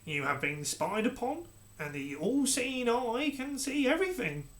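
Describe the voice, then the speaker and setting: A scheming royal adviser from a read-aloud story, speaking slowly with deliberate, oily calm, voiced by the narrator. He sounds falsely soothing even when he accuses. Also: medium pitch, voice pushed up. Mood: accusing. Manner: slow, measured, falsely soothing. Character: scheming smooth-talking male royal adviser. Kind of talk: storybook character reading.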